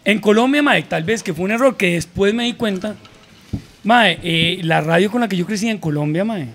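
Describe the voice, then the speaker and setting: Costa Rican man in his 40s, high voice, animated, slangy podcast storyteller at a table mic. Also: moderate pace. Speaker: guest. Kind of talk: casual podcast chat. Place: small room with a mic on a table. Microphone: studio mic with headphones.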